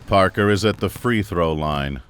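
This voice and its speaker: A man's voice: sits low, a little slow, very noisy. A man reading scripted scene narration for a radio drama.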